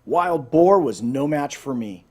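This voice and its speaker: A man's voice: on the high side, expressive, a little slow, a little mellow. A man voicing gabby old green snakes who boast and reminisce in a children's storybook. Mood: boastful.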